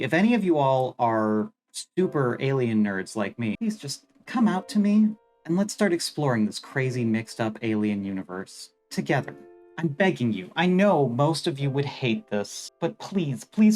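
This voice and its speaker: Expressive video essayist with music underneath. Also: mid-range voice. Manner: rambling, self-deprecating, swears casually. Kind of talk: scripted video essay narration with casual asides.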